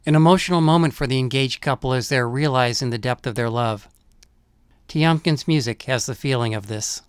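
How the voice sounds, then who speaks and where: A man narrating a scripted essay on film music in a measured, explanatory manner. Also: mid-range voice, clean tone.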